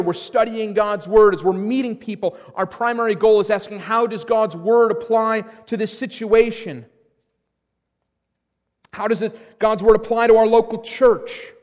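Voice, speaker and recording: Man, middle-aged, pastor, high-pitched voice, emphatic sermon delivery, stumbling restarts, long church reverb. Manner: emphatic preaching with frequent restarts. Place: church sanctuary.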